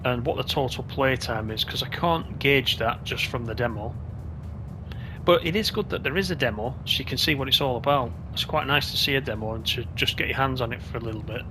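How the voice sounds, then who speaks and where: A man provides casual commentary for a gaming video, chatting and thinking aloud as he plays. His pitch is middling for a man. Clear game music runs behind his voice. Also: brisk.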